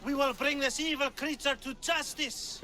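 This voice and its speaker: A man playing a hostile, righteous and superstitious accuser in a video game cutscene, forceful and ominous. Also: extremely high pitch, steady tone, moderate pace, faint music behind, heavily acted.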